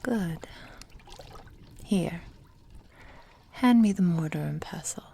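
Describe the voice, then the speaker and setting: Slow, whispering immortal witch played by a woman. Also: on the low side, expressive, warm tone, heavily acted. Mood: gently encouraging. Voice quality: breathy, whispery. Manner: slow, tender, intimate delivery. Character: doting, possessive immortal witch mentoring her beloved apprentice. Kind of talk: ASMR roleplay.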